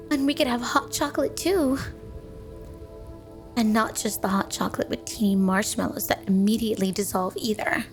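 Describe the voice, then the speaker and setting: Casting: female performer as clingy yandere snow woman for ASMR roleplay; higher voice, coy, teasing, doting, music underneath. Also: lightly acted. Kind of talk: ASMR roleplay spoken to the listener.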